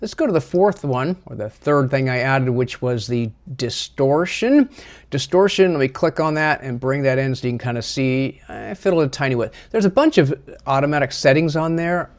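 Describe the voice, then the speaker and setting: A man presenting a software tutorial in a casual, chatty, slightly self-deprecating way. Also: medium pitch, lively intonation, moderate pace.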